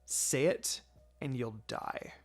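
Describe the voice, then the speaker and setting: A man's voice: mid-range voice, slow pace, soft background music. Man playing a sarcastic, guarded young female ninja. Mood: threatening. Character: sarcastic, proud young kunoichi hiding her feelings.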